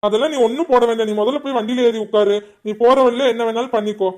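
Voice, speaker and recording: A man playing an impatient hurried son. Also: very high-pitched, fairly even intonation. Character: impatient grown son, worried about traffic. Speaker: son in a family skit.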